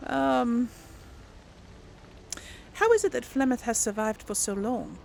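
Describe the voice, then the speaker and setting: A woman's voice: a little high, big pitch swings, slow, creaky, darkish tone, slight background noise. A woman hosting a casual role-play let's play, speaking in a hesitant, thoughtful way. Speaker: gaming video host and role-player.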